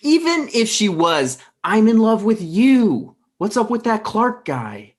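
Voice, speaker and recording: A man's voice: sits high, big pitch swings, slow, full character voice. A man in his thirties playing a flustered, exasperated boyfriend in a comedy table read on a video call, protesting and stammering.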